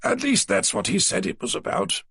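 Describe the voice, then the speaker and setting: A man's voice: high-pitched, wildly swooping pitch, moderate pace, vocal fry, pushed and bright, husky, no echo, studio quality, pitched above the performer's own voice, heavily acted. A man voicing a fussy, flustered middle-aged male publisher who talks primly and defensively. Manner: prim and defensive.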